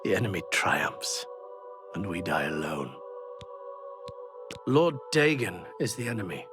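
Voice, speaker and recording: An actor playing a despairing, devout doomsayer in a fantasy video game, mournful and declamatory. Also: male voice, low voice, very animated, unhurried, over music.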